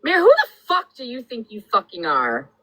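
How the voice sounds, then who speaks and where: A woman's voice: fairly high pitch, huge pitch swings, moderate pace, fairly bright tone, dry recording. A woman in a casual live-stream chat, blunt and sassy, who swears a lot.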